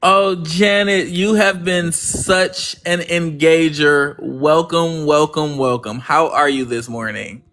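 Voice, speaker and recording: A man's voice: on the high side, steady tone, takes it slowly, hardly any pauses. Effusive man thanking his listeners. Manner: warm and effusive, repeats words of thanks. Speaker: livestream host. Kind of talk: casual positive-affirmation talk.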